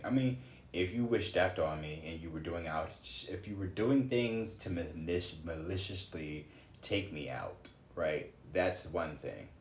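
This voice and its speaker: Deep-voiced young man musing aloud. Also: slight background noise. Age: 20s. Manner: musing aloud, swearing, sudden exclamations. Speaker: streamer doing a card reading. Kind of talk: casual livestream rant. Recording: echoey room with faint ambience.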